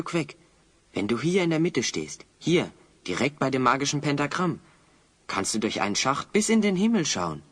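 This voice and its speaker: Man as an animated, earnest adventure hero. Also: on the high side, slightly rough. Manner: explanatory, earnest. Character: determined, thoughtful heroic adventurer leading his companion. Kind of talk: audio drama acting.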